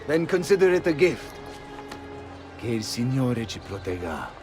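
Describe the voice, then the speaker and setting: A dignified city ruler , played by a man, speaks formally. His pitch rises and falls widely over a strong music score. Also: very animated, moderate pace, close to an everyday voice. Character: dignified male Florentine ruler, grateful and proud.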